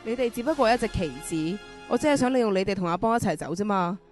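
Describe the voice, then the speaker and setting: A woman's voice: on the high side, animated, racing, darkish tone, close to an everyday voice. A woman acting a scheming, desperate middle-aged prisoner in an amateur radio drama, commanding and manipulative. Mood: cold, contemptuous. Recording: radio drama with music underneath.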